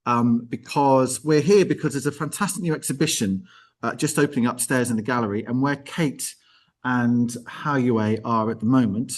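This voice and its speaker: A man presents an informal live arts show and interviews guests. His delivery is enthusiastic and chatty, at a middling pitch, wandering off into friendly asides. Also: moderate pace. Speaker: host.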